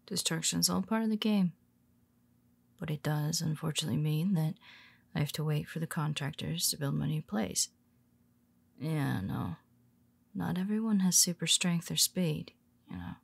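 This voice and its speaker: A woman playing a weary, teasing female superhero who speaks softly to her nemesis, often dropping to a whisper. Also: sits low, normal speed, gravelly, long pauses, slightly rough, dry recording, exaggerated voice. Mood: wry teasing. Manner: teasing, soft-spoken, slips into whispers. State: tired. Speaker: audio roleplay performer. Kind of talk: intimate audio roleplay.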